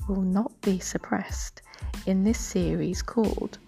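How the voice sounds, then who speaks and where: A woman hosting a podcast, reading a scripted introduction in a measured, breathy way and then moderating a panel. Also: medium pitch, warm tone, faint music behind. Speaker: podcast host.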